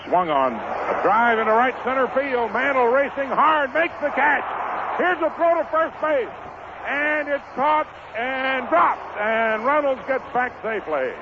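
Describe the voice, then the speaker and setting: A man's voice: extremely high pitch, expressive, measured pace. Muffled vintage American baseball announcer, man. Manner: clipped short phrases. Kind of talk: 1950s radio sports commentary. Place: outdoor ballpark broadcast. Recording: muffled, noisy 1950s radio broadcast.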